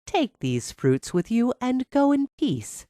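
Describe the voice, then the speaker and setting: A woman voicing a kind, calm enchanted tree in a storybook, with a measured and generous manner. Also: fairly high pitch, no echo, clean studio sound, voice pushed up.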